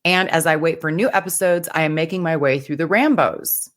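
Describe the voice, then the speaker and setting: An American woman co-hosts a podcast and reads a listener email in an upbeat, measured voice. Her voice sits on the lower side for a woman. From United States. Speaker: podcast co-host. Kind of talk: casual podcast chat, reading listener email aloud.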